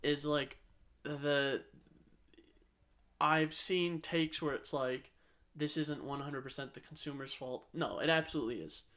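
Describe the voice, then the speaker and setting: Guy with a slightly high voice, podcast chat, repeats stuff to push his point. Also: unhurried, a bit bright. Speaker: podcast co-host. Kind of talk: casual chat, opinionated banter.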